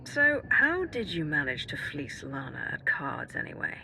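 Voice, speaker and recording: A woman playing a dry, skeptical and menacing Force warrior in a video game, with measured delivery. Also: low voice, very animated, creaky, sharp tone, a little hiss or hum, exaggerated voice, low-quality recording. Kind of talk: cinematic video game voice acting. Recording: music behind.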